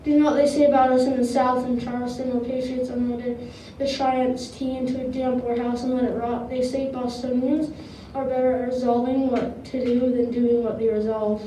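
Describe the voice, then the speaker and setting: An impassioned patriot speaker , played by a woman challenges the crowd with rhetorical questions. The voice is high, mellow and held to a narrow, even line, with heavy echo around it. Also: moderate pace, a little hiss or hum, natural voice. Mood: challenging. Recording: very echoey room from far off.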